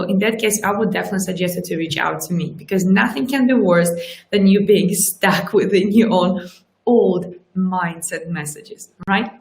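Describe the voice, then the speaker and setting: A Russian-native woman in her forties talks straight into a webcam, coaching viewers with lively energy. Her voice sits at a middle pitch and sounds roomy and echoey, as if she is in a small curtained room. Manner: lively, animated, keeps asking "right?". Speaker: life coach. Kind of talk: live-streamed motivational talk. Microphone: webcam at desk distance.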